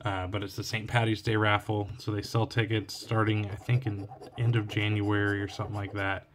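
A man's voice: fairly low pitch, flat delivery, fairly quick, noisy background. A young man from Oregon scratching lottery tickets at home late at night, talking in a tired, cracking voice as he reads each symbol aloud. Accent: Oregon US English. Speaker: scratch-ticket channel host. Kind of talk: casual narration while scratching tickets. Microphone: phone or camera looking down at the table. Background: coin scratching and clicks.

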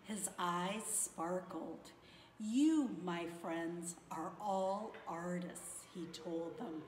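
A woman's voice: fairly low pitch, drawn-out words, a little mellow. A middle-aged woman reading a children's picture book aloud. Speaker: school staff member reading aloud. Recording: echoey room with faint noise.

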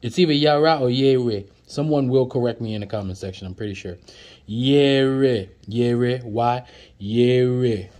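A man's voice: mid-range voice, measured pace, darkish tone. A man, chatting through flashcards as he teaches words, repeating each one and joking with viewers. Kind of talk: casual flashcard lesson. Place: indoors at a table, off camera.

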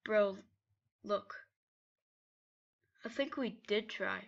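A woman voicing a laid-back, gently weary skeleton brother in an amateur comic dub, casual and halting. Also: medium pitch, vocal fry, lo-fi sound. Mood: resigned.

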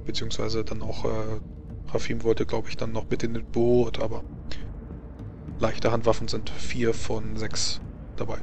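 Halting man running a tabletop game. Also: on the low side, completely flat delivery, creaky, noisy background. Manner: halting, hesitant, thinking aloud. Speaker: game master. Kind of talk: narrating an online tabletop role-playing game.

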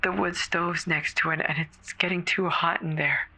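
Frightened, bright-voiced woman emergency caller acted out. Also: mid-range voice, steady tone, low-quality recording. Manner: halting, fearful, breathy stammering. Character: terrified woman caller alone in a snowbound house. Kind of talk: horror audio drama, dramatic reading.

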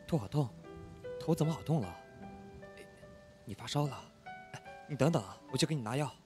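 A man acting a lively, caring, hot-tempered young roommate. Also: medium pitch, normal speed, music in the background, lightly acted. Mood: tender concern. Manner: expressive, exclamatory. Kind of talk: Chinese audio drama acting.

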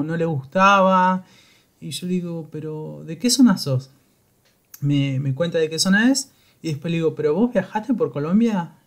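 A man hosts a travel podcast in an easy conversational tone, often restarting his phrases. His voice sits a little high for a man. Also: a bit bright. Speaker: podcast host. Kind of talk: casual podcast interview.

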